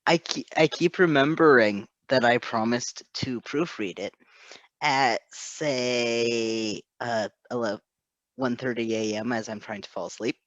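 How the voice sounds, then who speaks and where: A man chatting casually in a halting, self-deprecating, giggly way. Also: mid-range voice, fairly bright tone, no room sound, dull audio. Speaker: co-host, fan fiction proofreader. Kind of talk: casual rambling chat.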